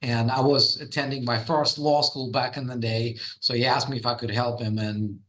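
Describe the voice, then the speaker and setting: A man's voice: mid-range voice, level tone, normal speed, a bit bright, rough recording. Russian-accented man telling his story. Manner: storytelling, frequent fillers and restarts. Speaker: guest, trademark firm founder. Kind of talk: podcast interview.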